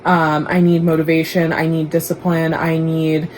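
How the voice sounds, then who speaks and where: Young woman, Dallas-raised, Igbo first language, vlogger; low voice, candid chatty delivery, light background noise. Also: completely flat delivery, normal speed, darkish tone. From Dallas, Texas. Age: young adult. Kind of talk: casual vlog chat to camera.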